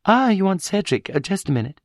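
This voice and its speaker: A man's voice: high voice, sing-song, quick pace, dry recording, studio quality, voice pushed up. A man voicing a confused, vulnerable middle-aged wife on the phone in an audiobook. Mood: flustered. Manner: confused, hesitant.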